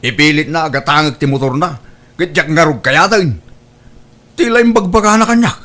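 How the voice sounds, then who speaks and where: A man with an Ilocano accent playing a stubborn, exasperated son in a comedy radio-style drama, animated and complaining. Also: on the high side, brisk, close to an everyday voice. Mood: annoyed, stubborn.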